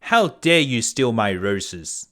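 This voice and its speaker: A man voicing a huge, angry, threatening male beast in a children's fairy tale, loud and menacing. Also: medium pitch, big pitch swings. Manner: loud, slow, menacing delivery.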